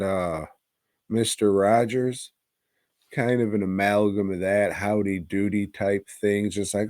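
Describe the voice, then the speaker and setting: A low-voiced man chats through a film review as a podcast host. He takes his time, rambling in loose slang and cursing freely, recorded close and dry. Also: a little mellow, no room sound. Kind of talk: casual, crude film review chat.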